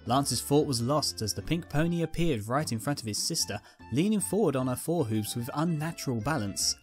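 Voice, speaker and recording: A man reads a scripted story as narrator in a mid-pitched voice. Faint music runs underneath his narration. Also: lively intonation, fairly quick.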